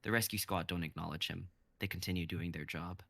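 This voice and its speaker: Brief: male narrator, low gravelly voice, even script reading, dry sound. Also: fairly quick, no room sound. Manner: even, descriptive, with stage directions.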